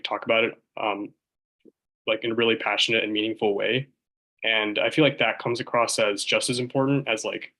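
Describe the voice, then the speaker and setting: A young man in his twenties talks quickly in a bright, forward voice. He rambles and restarts often as he answers on a video call. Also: mid-range voice, poor audio. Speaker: medical student panelist. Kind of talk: casual panel answer.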